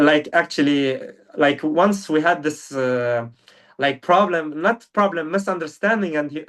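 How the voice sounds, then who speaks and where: A man's voice: on the high side, fairly bright tone. Young male guest, non-native English, earnest, fillers and restarts, distant mic. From Lebanon. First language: Arabic. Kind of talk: casual interview talk. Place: now living in Germany.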